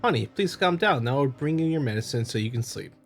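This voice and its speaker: A man playing a smooth, scheming visual novel husband who feigns loving concern, coaxing and patronizing. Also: medium pitch, animated, fast, faint music behind, close to an everyday voice. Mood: feigned concern.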